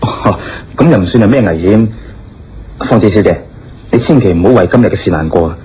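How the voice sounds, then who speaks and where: An actor playing a concerned, tactful gentleman who speaks with careful hesitation in a radio drama. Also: fairly low pitch, lively intonation, a little fast, slight background noise, natural voice. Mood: probing, reassuring. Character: concerned, tactful gentleman probing and reassuring a stranger. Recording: dull lo-fi radio drama, music behind.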